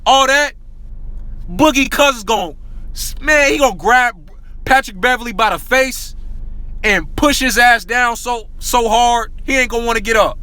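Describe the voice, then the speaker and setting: A man giving an excited, slangy basketball rant to his viewers, repeating himself often and saying he is losing his voice. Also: very high voice, a little slow, poor audio. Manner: excitable, repetitive, slangy, addresses viewers as y'all. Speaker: sports talk vlogger. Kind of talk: hyped casual basketball rant.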